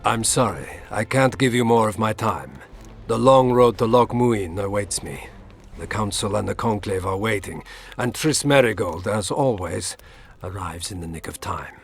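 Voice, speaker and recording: An actor playing a courteous, scheming middle-aged Imperial ambassador in a fantasy game, formal and politely menacing. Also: male voice, lowish voice, expressive, moderate pace, creaky, few pauses, soft background music. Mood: coolly courteous. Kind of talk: scripted fantasy game dialogue.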